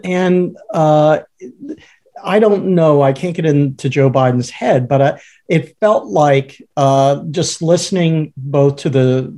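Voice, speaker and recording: A man co-hosting a podcast who calls himself a baritone, thinking aloud in halting, filler-heavy speech. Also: mellow. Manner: hesitant, many uh fillers and restarts. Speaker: podcast co-host. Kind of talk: casual conversational podcast.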